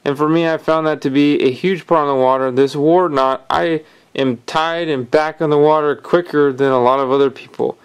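A man calmly explaining step by step how to tie fishing knots, talking while his hands work at a table indoors. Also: on the high side, moderate pace, clean tone. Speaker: fishing instructor. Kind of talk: hands-on knot-tying tutorial.

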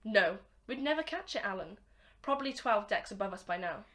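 A woman's voice: mid-range voice, animated, fairly quick, a bit bright, lightly acted. A woman acting an earnest, formal young male cadet scientist in an amateur radio drama. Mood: alert.

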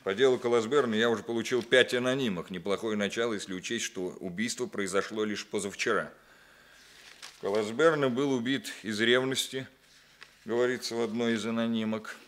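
An actor playing a stern, methodical police captain in a 1960s Soviet radio play, deliberate, authoritative and dryly ironic. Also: male voice, on the low side, fairly even intonation, a bit bright, natural voice.

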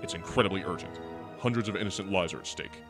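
A man's voice: low voice, quick pace, music in the background. An actor playing an urgent, earnest male rebel soldier in a comic dub. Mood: urgent, apologetic.

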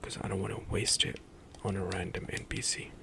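A young man whispering relaxed commentary as he plays through a game for an ASMR stream, often trailing off mid-thought. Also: sits low, fairly quick, vocal fry, slight background noise.

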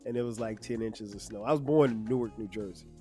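A man in his fifties from South Carolina, hosting a solo podcast in a relaxed, conversational way with many fillers. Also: on the low side, fast talker, soft background music. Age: 50s. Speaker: podcast host. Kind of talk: casual solo podcast monologue.

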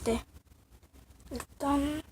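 High-voiced woman with a cold. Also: fairly even intonation, extremely slow. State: has a cold, voice sounds off. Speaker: craft video creator. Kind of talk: casual show-and-tell of handmade clay miniatures. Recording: noisy amateur recording with clicks.

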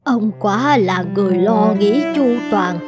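A woman voicing a caring, thoughtful aging wife in an animated folktale, speaking in a gentle, measured way. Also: medium pitch, music in the background, close to an everyday voice. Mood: supportive. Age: older.

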